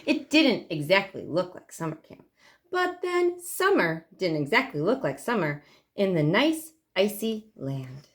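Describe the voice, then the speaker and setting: A woman's voice: lowish voice, very animated, a little slow. A woman reading a picture book aloud to children expressively, stretching key words for emphasis. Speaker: library storytime reader.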